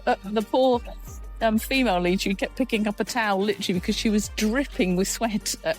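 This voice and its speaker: A woman hosting a podcast in casual chat, croaky from a cold, chatty and teasing. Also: medium pitch, rough recording. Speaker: podcast host.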